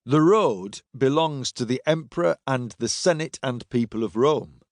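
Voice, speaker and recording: A man voicing a weary, steely male Roman agent in an audiobook, speaking in a measured, dry, firm way. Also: medium pitch, animated, darkish tone, no room sound. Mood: cool, wry.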